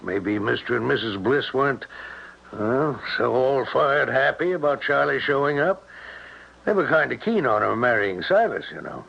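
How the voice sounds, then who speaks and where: An actor playing a worried, folksy elderly small-town father in an old-time radio drama, with a creaky, rambling, homespun way of talking. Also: mid-range voice, animated, moderate pace. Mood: folksy, fretting. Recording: noisy, muffled 1970s radio broadcast.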